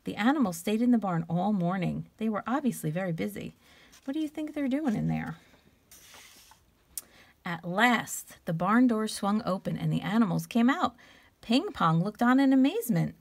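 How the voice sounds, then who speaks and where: A woman reading a children's storybook aloud like a teacher, expressively, stretching key words and pausing to ask questions. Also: medium pitch, very animated, gravelly.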